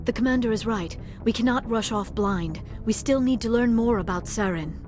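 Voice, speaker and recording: A woman's voice: a little high, moderate pace, music in the background, lightly acted. A woman playing an earnest, compassionate crew member in a video game, speaking precisely. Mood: reasonable. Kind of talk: video game cutscene acting.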